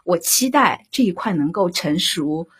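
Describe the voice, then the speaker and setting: A woman speaking as a drama director in an unscripted interview, halting as she searches for words. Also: lowish voice, expressive, fairly bright tone, breathy edge.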